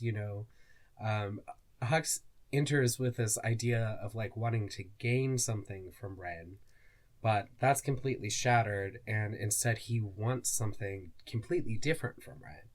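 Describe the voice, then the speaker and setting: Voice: mid-range voice, moderate pace. A podcast co-host chatting casually about stories, phrasing things loosely with lots of "like". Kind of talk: casual chat discussing fan fiction.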